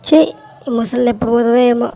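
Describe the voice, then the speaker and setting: A woman's voice: a little high, steady tone, fast, gravelly, warm tone. A woman voicing a cheeky, lazy, whining village boy with a Telangana accent in a cartoon comedy. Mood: cheeky, irritated. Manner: cheeky, whining, back-talking. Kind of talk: cartoon comedy dubbing in dialect. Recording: faint noise behind the voice.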